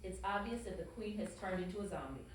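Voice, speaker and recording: A woman's voice: medium pitch, expressive, quick pace. A woman reading out stage directions as narrator in scripted narration at a comedy table read. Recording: very echoey room, distant mic, faint hiss.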